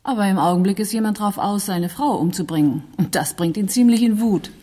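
A woman's voice: mid-range voice, fairly quick, warm tone, close to an everyday voice. An actress playing a direct, uneasy woman on the run in a German radio play, speaking seriously. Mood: direct, urgent.

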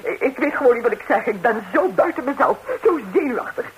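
A woman's voice: on the high side, lively intonation, fast, muffled recording, natural voice. An actress playing a nervous, nosy married lady in a radio comedy, talkative and stammering as she repeats herself. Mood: flustered. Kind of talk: 1960s radio comedy acting in Dutch. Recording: hissy 1960s radio recording.

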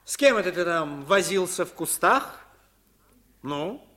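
A man's voice: sits high, lively intonation, a little slow, bright, forceful tone, heavily acted. A man playing a stern, snobbish, pompous father in a radio play. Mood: brisk, commanding. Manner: pompous, formal. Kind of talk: 1950s Soviet radio play acting.

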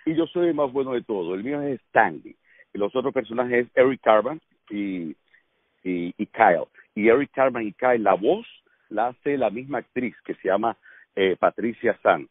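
A man's voice: mid-range voice, normal speed, husky, dry recording. Cuban-accented man on a tinny line. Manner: lively storyteller, laughs often. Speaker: actor. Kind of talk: casual radio conversation. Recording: dry phone-line sound.

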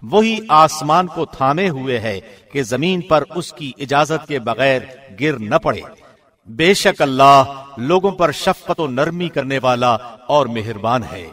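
A man reads a scripture translation from a script like a radio presenter, formal and measured, with clear phrasing. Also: mid-range voice, animated, a bit bright.

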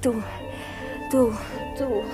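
Passionate young lover, radio play: extremely slow delivery, commanding, loud music behind. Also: female voice, on the high side, fairly even intonation. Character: impassioned young woman, triumphant, commanding her lover. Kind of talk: radio drama acting.

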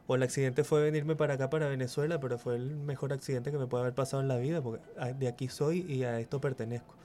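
Hesitant, mellow-voiced man, faint music behind. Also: medium pitch, steady tone, fast. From Chile. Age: 40s. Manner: hesitant, repeats words while thinking. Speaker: guest muralist. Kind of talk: radio interview.

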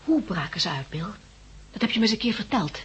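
An actress playing a caring, anxious young farmer's wife in a 1960s Dutch radio drama. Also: female voice, mid-range voice, very animated, fast, a bit bright, husky, muffled recording, exaggerated voice. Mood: sleepy, affectionate. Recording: noisy old radio drama recording.

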